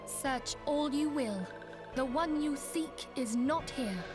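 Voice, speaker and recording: High, gentle dignified priestess leader. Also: female voice, expressive, slow pace. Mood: tense, resolute. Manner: composed, earnest, formal. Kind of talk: scripted fantasy game dialogue. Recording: game music behind the voice. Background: orchestral game music.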